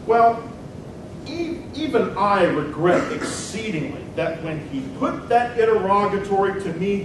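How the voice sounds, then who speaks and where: A man performing a dramatic reading as a combative nineteenth-century senator, declaiming a formal, emphatic political debate speech. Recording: very echoey hall, distant mic, rumbling noise.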